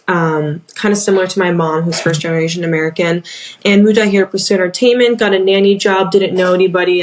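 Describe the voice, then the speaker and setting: Young woman musician from Philadelphia, casual interview guest, lowish voice. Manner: casual, lots of "like" fillers. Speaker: DJ and singer, guest.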